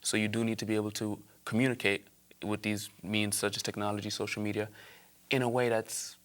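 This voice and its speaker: A man's voice: on the low side, little pitch movement, fairly bright tone. A young man from Aruba, a guest on an interview show, talking casually and eagerly with fillers along the way. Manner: fast, eager, frequent fillers and laughs.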